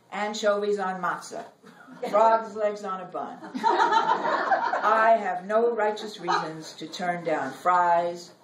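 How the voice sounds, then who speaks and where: Middle aged woman reading poems slowly to a room, far from mic, really echoey. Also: fairly low pitch. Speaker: poet reading own work. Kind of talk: live poetry reading. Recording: roomy, noisy recording, distant from mic.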